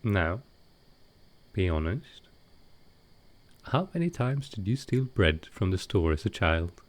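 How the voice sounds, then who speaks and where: A man playing a gentle, wistful ancient vampire in an intimate audio roleplay, soft-spoken and hesitant with halting pauses. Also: low voice, big pitch swings, heavily acted. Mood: gently teasing.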